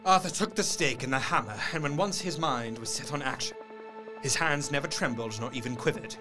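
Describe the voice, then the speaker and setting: A man playing an earnest gentleman doctor, narrating a gothic horror radio play in a dramatic, literary manner. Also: medium pitch, a little fast, over music, close to an everyday voice. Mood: solemn, measured.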